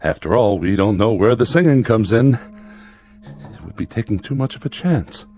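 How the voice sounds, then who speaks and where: An actor playing a frightened small-town father in a radio drama, halting and strained as he forces cheerfulness. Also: on the low side, expressive, a little mellow, faint music behind. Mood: cautious. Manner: halting, repeats words, strained.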